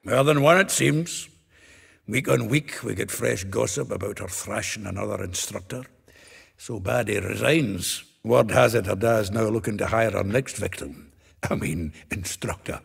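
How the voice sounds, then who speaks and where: An earthy, ribald storyteller in a fantasy game. The voice is lowish, creaky and very husky, with an amused, gossipy manner. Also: male voice, normal speed, full character voice. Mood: wry, confiding. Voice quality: gravelly, very husky. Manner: chatty, chuckling storyteller. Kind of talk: video game cutscene acting.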